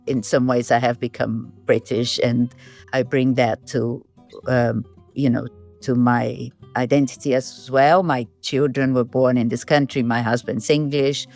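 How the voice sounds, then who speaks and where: A woman's voice: rumbling low, a little mellow. A middle-aged woman from Brazil, a designer and filmmaker, answering interview questions in a reflective, thinking-aloud way. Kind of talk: casual interview talk.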